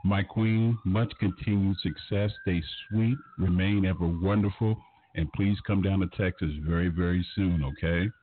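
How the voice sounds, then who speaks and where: A man hosting a radio music show, effusive and flattering, drawing out his phrases. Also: sits low, moderate pace, a little hiss or hum. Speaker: radio host. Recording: muffled lo-fi audio, roomy echo.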